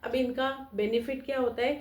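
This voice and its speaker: A woman's voice: on the high side, fairly even intonation, a little fast, echoey room. A woman lawyer explaining legal advice directly to viewers in an instructive way. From India. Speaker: lawyer giving legal advice, channel host.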